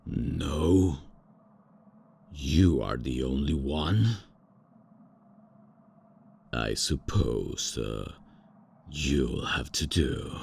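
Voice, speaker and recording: A man's voice: very deep voice, vocal fry, a little hiss or hum, full character voice. A man voicing a haughty, out-of-touch ancient male vampire lord in a comedy ASMR roleplay, with a pompous, theatrical manner.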